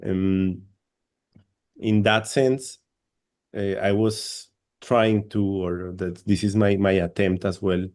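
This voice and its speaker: A man's voice: deep voice, steady tone, slow, long pauses, darkish tone. A man from Colombia, an English speaker, giving an academic panel presentation over a video call, hesitant and repeating words often.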